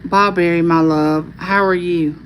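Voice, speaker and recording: A woman with a Southern Arkansas accent hosts a casual livestream, reading viewer comments aloud and answering each by name in a calming voice. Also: lowish voice, measured pace, slight background noise. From California. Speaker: livestream host.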